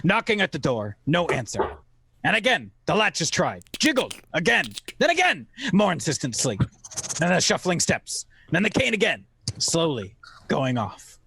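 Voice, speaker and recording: A man playing a boy adventurer who narrates his tale in a radio play with deliberate, dramatic suspense. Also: a little high, big pitch swings, creaky, sharp tone, breathy edge, exaggerated voice. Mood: suspenseful.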